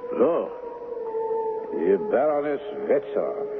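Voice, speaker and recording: A man's voice: medium pitch, very animated, slow pace, music in the background. An actor playing an imperious, scornful emperor and stern father in a 1970s radio drama. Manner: haughty, commanding. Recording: noisy, tinny 1970s radio recording.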